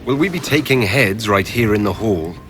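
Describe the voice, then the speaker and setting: A cold male leader in a fantasy video game. His voice is fairly low, dry and clipped, haughty in tone. Clear noise sits behind it. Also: lively intonation, normal speed, fairly bright tone. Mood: businesslike.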